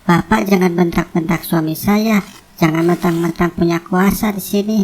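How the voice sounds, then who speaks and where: Devoted, plucky young wife for animated drama, female actor; slightly low voice, light background noise. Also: moderate pace, lightly acted. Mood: indignant, firm. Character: loyal, bold young wife defending her husband. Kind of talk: cartoon drama dubbing.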